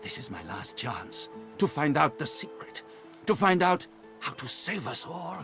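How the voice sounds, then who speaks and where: A desperate lizard dreamer from a fantasy video game. He pleads groggily in broken, halting phrases that swing widely in pitch. The delivery is slow, with music clearly playing behind it. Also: very animated, a bit bright, full character voice. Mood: earnest, urgent. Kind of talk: dramatic video game voice acting.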